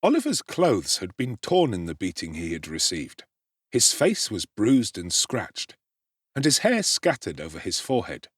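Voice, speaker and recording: A man narrating a classic novel as a dramatic audiobook performance, in an expressive, theatrical way. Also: on the low side, unhurried, breathy edge, no echo, clean studio sound.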